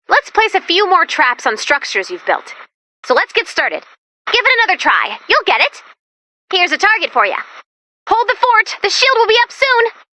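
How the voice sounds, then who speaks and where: A woman's voice: sits high, pushed and bright, heavily acted. A woman voicing a perky, quirky female robot helper in a video game, chirpy and encouraging as she guides the player. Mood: encouraging, upbeat. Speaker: mission guide. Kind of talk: video game tutorial voice lines.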